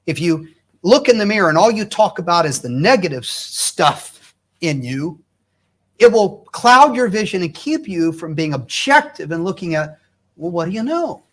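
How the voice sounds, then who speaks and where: A man's voice: high voice, big pitch swings, moderate pace. A middle-aged man hosting a talk show, encouraging his guest and restating the guest's points in his own words. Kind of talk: faith-based talk show conversation.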